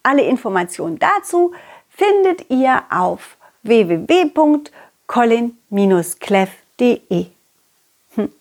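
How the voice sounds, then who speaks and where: A confident, cheerful dog from a children's audio story, played by a woman. The voice sits fairly high and swings in pitch, with slow pacing. It is affectionate and encouraging, speaking straight to young listeners. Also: very animated, darkish tone, full character voice. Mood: chatty, encouraging. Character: confident, loyal, cheerful dog telling children his adventures. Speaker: puppeteer hosting a children's audio story podcast.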